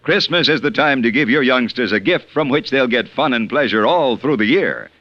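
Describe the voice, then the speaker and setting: A man's voice: medium pitch, animated, moderate pace, no room sound, muffled recording. A man announcing a 1950s radio commercial with polished, emphatic salesmanship. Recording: dry, band-limited vintage radio.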